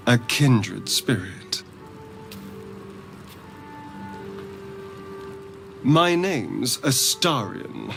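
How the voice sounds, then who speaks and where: A man's voice: mid-range voice, very animated, drawn-out words, gravelly, fairly bright tone, soft background music, exaggerated voice. A man with a British accent playing a sardonic, sly vampire rogue in a video game, with a haughty, theatrical drawl. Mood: sly, curious. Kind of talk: dramatic video game character dialogue.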